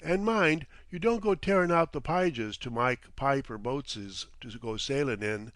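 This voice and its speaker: A man voicing a gruff, mocking sea captain in an audiobook, with a Cockney accent, dropped aitches and a needling, sardonic way of teasing. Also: medium pitch, measured pace, fairly bright tone, dry recording, studio quality, natural voice. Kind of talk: audiobook character voice.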